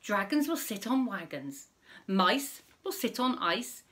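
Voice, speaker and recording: A woman voicing a boastful male frog in a picture-book story, speaking in a slow, singsong rhyming way. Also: medium pitch, sing-song, a bit bright. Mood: cheeky.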